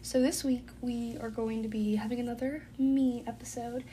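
A woman's voice: on the high side, steady tone, moderate pace, warm tone. Stuffy-sounding young woman rambling on her podcast, lots of ums, hissy noisy recording. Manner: rambling, filler-heavy, chatty. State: congested, phlegmy throat. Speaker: podcast host. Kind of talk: casual solo podcast chat.

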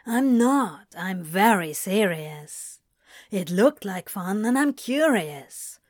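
A laid-back male demon, played by a woman, talks slowly in a medium-pitched voice. He is a teasing, coaxing tempter from a story, recorded close and dry. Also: animated, darkish tone, no echo.

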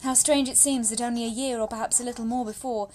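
High-voiced, anxious young Victorian gentlewoman, acted by a woman. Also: quick pace, a little hiss or hum, lightly acted. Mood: wistful, restless. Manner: formal, genteel, confiding, expressive phrasing. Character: anxious, spirited young Victorian gentlewoman confiding in her brother. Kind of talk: dramatic monologue reading of private letters.

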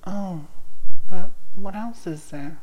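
A woman's voice: mid-range voice, slow, dark tone, poor audio. A woman talking candidly in a casual chat, laughing often between points.

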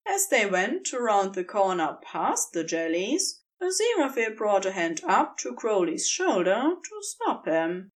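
A woman narrating scripted fiction in an expressive, dramatic storytelling manner. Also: mid-range voice, unhurried, few pauses.

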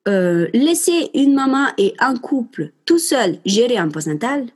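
A woman's voice: fairly high pitch, sing-song, a little slow, slightly rough. A woman in her twenties with an Italian accent, passionately and emphatically telling listeners about giving birth and speaking to them directly. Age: 20s. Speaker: mother sharing her home birth story. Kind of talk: casual spoken monologue.

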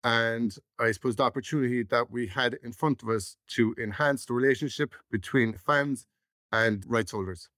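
A man's voice: medium pitch, moderate pace, fairly bright tone, dry recording, studio quality. A man, a startup chief executive explaining his background conversationally as an interview guest.

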